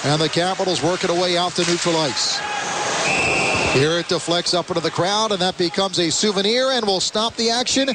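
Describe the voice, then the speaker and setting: A North American man calling a hockey game live on radio, in energetic, clipped phrases. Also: sits high, a little fast. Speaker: radio hockey play-by-play announcer. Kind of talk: live sports commentary. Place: broadcast booth in a hockey arena. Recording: loud arena noise behind. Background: loud arena ambience.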